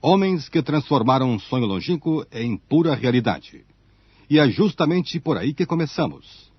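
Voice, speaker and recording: A man's voice: mid-range voice, big pitch swings, normal speed, slightly rough, no echo. A man giving formal, rhetorical scripted narration for a radio documentary. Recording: dull archival shortwave-era radio recording.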